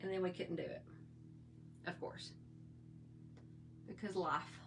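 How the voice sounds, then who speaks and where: A middle-aged woman with a slightly hoarse voice, chatting in a folksy, rambling way while she shows her makeup routine. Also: fairly low pitch, fairly even intonation, slow pace, loud music behind the voice. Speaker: makeup vlogger. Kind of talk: casual makeup tutorial chat.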